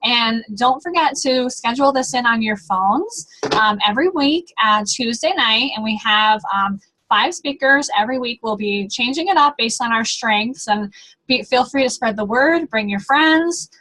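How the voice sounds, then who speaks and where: A woman hosts a weekly online chat, making casual, relaxed announcements filled with fillers. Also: on the high side, moderate pace, poor audio. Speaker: host.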